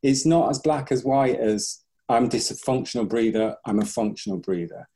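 Mellow-voiced male breathing coach. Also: mid-range voice, normal speed. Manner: thoughtful, restarts often, acts out clients' voices. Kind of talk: podcast interview.

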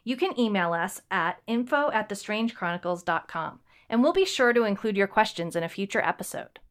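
A woman with a middle-pitched voice co-hosts a podcast recorded at home. She talks in an upbeat, teasing way with easy fillers. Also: expressive, fairly quick. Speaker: writer and podcast co-host. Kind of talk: casual podcast chat. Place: home recording setup in a house.

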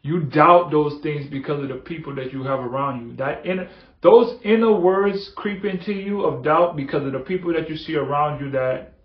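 Male motivational podcast host, fairly high voice, earnest and repetitive, dull echoey room. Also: moderate pace. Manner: earnest, repetitive, building emphasis. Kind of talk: motivational monologue.

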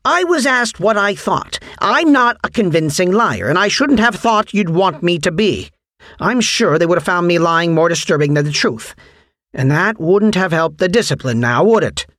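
A man voicing a candid, defensive male schoolteacher, reasoning and quietly defiant, in a story reading. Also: high-pitched, expressive, normal speed, no echo, clean studio sound, pitched above the performer's own voice.

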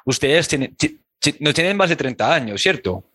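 A man with a Colombian accent hosting an interview, encouraging his guest with quick approving remarks. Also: a little high, big pitch swings, pushed and bright, slightly rough, no echo. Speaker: interview host. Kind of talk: casual interview.

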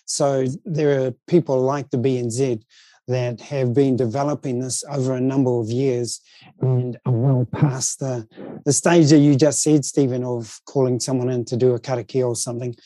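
A man with a New Zealand accent talks as an interview guest in a considered, conversational style. His voice is soft-edged and very dark in tone, at an average pitch. The sound is close and dry. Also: steady tone, normal speed, no echo. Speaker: interview guest, board chair and speaker.

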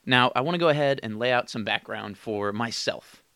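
A young man in his twenties talks through a solo podcast from his room, his voice slightly raspy because he is ill. He sits at a middle pitch and keeps things candid and casual, with laughs dropping into his sentences. The sound is close and dry. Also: brisk, bright, forceful tone, no room sound. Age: 20s. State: raspy from illness. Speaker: podcast host.

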